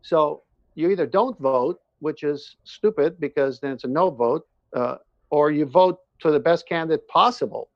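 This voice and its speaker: A man's voice: a little high, normal speed, dry recording, highs cut off. A man talking casually and at length, earnest and emphatic, often repeating his phrases. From born in Iran, moved to the United States at seven. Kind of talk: casual conversation, personal testimony and opinion.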